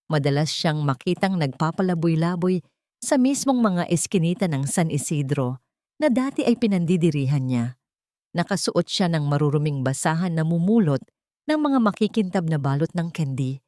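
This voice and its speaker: Low-pitched woman storyteller, Filipino accent. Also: mellow, no room sound, studio quality. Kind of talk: scripted dramatic audio story narration.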